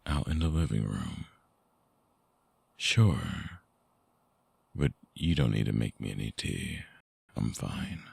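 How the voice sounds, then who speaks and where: A man playing a remorseful, lovesick vampire ex-boyfriend in an intimate ASMR roleplay, husky and breathy, hesitant and pleading, close on the mic. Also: very deep voice, very animated, slow pace, long pauses, no room sound, full character voice. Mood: guarded, earnest. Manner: hesitant, soft-spoken, pleading. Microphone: close binaural microphone, dry.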